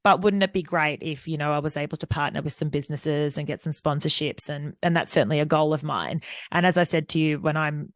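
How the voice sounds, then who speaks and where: A woman's voice: sits low, brisk, no room sound, clean studio sound. A woman, hosting a solo podcast in a candid, rambling way with lots of fillers. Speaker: podcast host. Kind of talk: unscripted solo podcast monologue.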